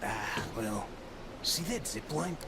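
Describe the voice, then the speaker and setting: An actor playing a rugged, concerned soldier comrade in a video game, with colloquial, urgent, plain-spoken delivery. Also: male voice, lowish voice, expressive, slow, background noise. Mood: grave, urgent. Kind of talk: video game cutscene acting. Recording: loud rain and ambience behind.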